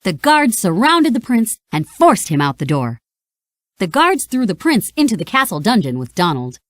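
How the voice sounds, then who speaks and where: A woman narrating a read-along storybook in an animated, expressive way. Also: mid-range voice, moderate pace, dry recording.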